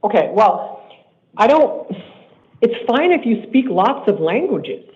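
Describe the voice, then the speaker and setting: A man acting as judge in a court hearing. He speaks in a stern, measured way and repeats himself when he is pressing a point. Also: high voice, big pitch swings, darkish tone. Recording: tinny court livestream audio.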